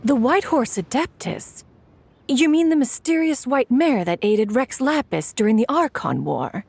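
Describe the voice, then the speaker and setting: Haughty, animated immortal lady. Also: high voice, measured pace, vocal fry, slightly rough, exaggerated voice. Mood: intrigued. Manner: imperious, formal, archaic. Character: haughty, proud immortal crane woman, sharp-tongued. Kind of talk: video game voice acting.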